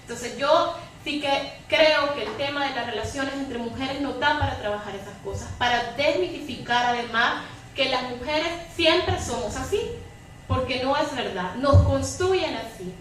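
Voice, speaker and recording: A woman's voice: high voice, measured pace, fairly bright tone. A woman arguing analytically and emphatically as a debate panelist, often ending on a questioning tag. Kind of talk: impassioned argument in a public debate. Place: echoey room at a public debate. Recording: echoey hall, distant mic, background noise.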